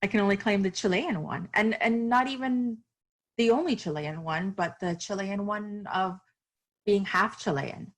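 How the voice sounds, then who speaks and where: A woman's voice: mid-range voice, moderate pace. A woman author from Miami, speaking earnestly and reflectively as a podcast interview guest, with fillers and restarts.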